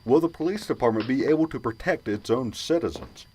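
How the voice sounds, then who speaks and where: A man's voice: mid-range voice, lively intonation, fairly quick, heavy background noise, lightly acted. An actor playing an insistent male news reporter in an amateur radio drama. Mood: pushy. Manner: insistent, pressing.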